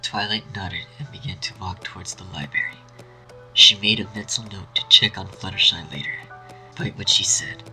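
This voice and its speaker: A man narrating a scripted dark fan story in a dramatic storytelling style. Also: deep voice, low-quality recording. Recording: faint music behind.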